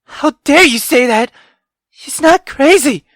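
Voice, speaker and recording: A man's voice: very high voice, expressive, takes it slowly, fairly bright tone, no echo, studio quality, pitched above the performer's own voice, exaggerated voice. A man voicing a loyal, protective young woman from a visual novel, insistent and accusing in manner. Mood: indignant.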